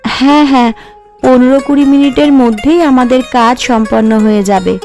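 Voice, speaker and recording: A woman acts a young cartoon housewife, polite and earnest with a cautious edge. Her voice sits fairly high, and light music runs underneath. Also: a little fast, darkish tone. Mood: anxious, cautioning. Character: young daughter-in-law, deferential, hopeful, secretly enterprising. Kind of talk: Bengali cartoon voice acting.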